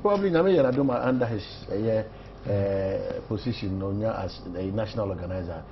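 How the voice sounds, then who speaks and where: A Ghanaian man hosting a morning newspaper review, making short interjections in a soft, trailing way. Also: on the low side, lively intonation, a little fast, creaky, muffled recording, background noise.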